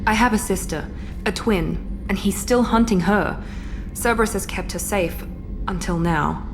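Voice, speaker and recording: A woman's voice: mid-range voice, unhurried, music in the background, lightly acted, low-quality recording. An actress playing a cool, reserved female operative who speaks in a formal, restrained way. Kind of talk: video game voice acting.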